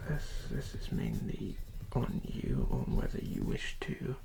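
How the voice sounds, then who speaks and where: A person performing an ASMR dentist roleplay in a breathy whisper with creaky edges, speaking softly and hesitantly as they give instructions. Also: mid-range voice, heavy background noise. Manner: soft, hesitant, unhurried instructing.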